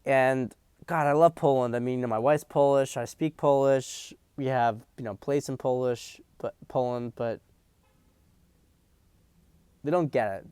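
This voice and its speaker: A man's voice: mid-range voice, steady tone, measured pace, a little mellow, smooth, no echo. A man hosting a political commentary stream, talking bluntly and emphatically, swearing freely and often restarting his sentences. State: hiccups. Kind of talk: profane livestream commentary.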